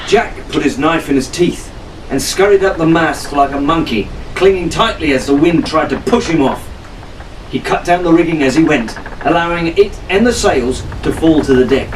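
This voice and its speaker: Higher-pitched male storyteller, noisy recording. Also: moderate pace, distant mic. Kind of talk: dramatic scripted audiobook narration.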